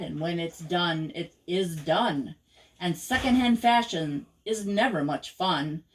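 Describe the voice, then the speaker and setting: Older woman reading slowly to children. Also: mid-range voice, low-quality recording. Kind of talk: read-aloud children's verse storytelling.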